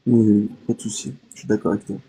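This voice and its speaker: A man on the line in a live debate, hesitant and halting, stumbling over his words. His voice is low and dark with a husky edge. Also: little pitch movement, low-quality recording. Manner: hesitant, halting, many fillers. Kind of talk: hesitant argumentative chat.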